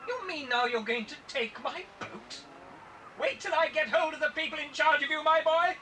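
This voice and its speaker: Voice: high voice, normal speed, bright, forceful tone. An actor playing a flustered, pompous, indignant man in a children's adventure audio drama. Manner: pompous, blustering. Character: flustered indignant adult man, pompous comic birdwatcher, caught in a hole. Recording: distant, hissy old cassette tape.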